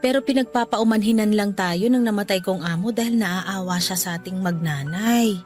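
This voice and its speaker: A woman's voice: medium pitch, moderate pace, darkish tone, lightly acted. An actress playing a worried, loving, hard-working mother in a Tagalog radio drama, plaintive and tender. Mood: anxious, plaintive. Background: background music.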